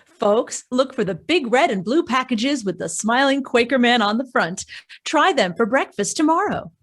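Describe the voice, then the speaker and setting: A woman's voice: a little high, expressive, normal speed. A woman playing an eager, wide-eyed questioner in an old-time radio advert, full of exclamations. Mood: perky. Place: home, live online video performance.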